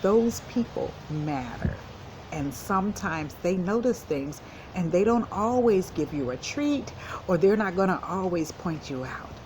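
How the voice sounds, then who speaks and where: A woman school counselor speaking to young children in simple, encouraging words with deliberate emphasis, as in a read-aloud lesson. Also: fairly low pitch, lively intonation, a little mellow, a little hiss or hum, poor audio.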